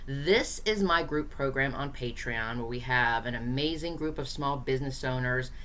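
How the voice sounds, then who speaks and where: Low-voiced hoarse woman teaching. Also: fairly bright tone, a little hiss or hum. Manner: chatty, direct, encouraging, with frequent fillers. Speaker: host, small business marketing coach. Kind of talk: casual teaching to camera.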